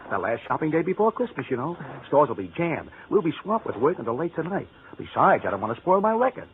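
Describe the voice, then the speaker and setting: An actor playing an earnest, eager husband in a 1940s radio drama, on a muffled old recording. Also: male voice, fairly high pitch, sing-song, fast talker, slight background noise. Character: earnest, eager husband anxious to keep his job.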